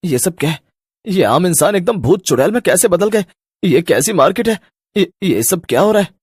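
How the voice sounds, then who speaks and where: A man plays an anxious everyday father in a cartoon horror tale. His voice is husky and swings animatedly as he sounds puzzled and worried, recorded dry. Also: high voice, expressive, normal speed, darkish tone, no room sound. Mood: shocked, pleading. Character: anxious, bewildered ordinary husband and father shopping. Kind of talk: cartoon horror story character acting.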